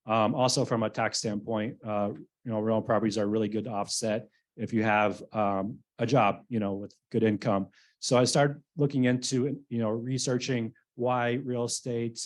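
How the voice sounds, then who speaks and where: A man's voice: lowish voice, level tone, normal speed. A man speaking on a meeting panel, plain and matter-of-fact, with many ums. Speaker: panelist, real estate investor. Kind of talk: casual panel talk.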